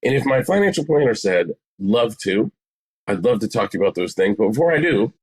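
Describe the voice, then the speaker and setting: A man's voice: on the low side, big pitch swings, a little fast, darkish tone, husky, low-quality recording. A man in his forties explaining things conversationally as an interview guest, emphatic and repeating phrases for stress. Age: 40s. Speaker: psychotherapist, podcast guest.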